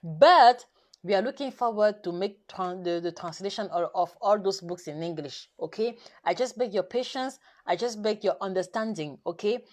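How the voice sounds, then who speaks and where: A woman whose first language is French, hosting a live nutrition session in careful, halting English full of restarts, coaxing her viewers directly. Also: medium pitch. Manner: halting, self-correcting English with many restarts and fillers. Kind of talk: live stream chat to viewers.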